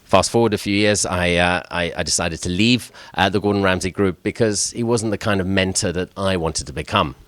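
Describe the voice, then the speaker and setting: A man's voice: deep voice, brisk, few pauses, a bit bright, no room sound. A middle-aged man who grew up in Switzerland, a chef speaking as a guest, telling his personal story in a hesitant way and often repeating words as he starts his sentences.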